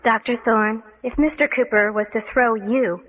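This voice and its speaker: An actress playing a villain's wry, uneasy accomplice, dry and evasive, in an old radio mystery serial. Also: female voice, on the high side, moderate pace, close to an everyday voice. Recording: dull lo-fi old radio recording.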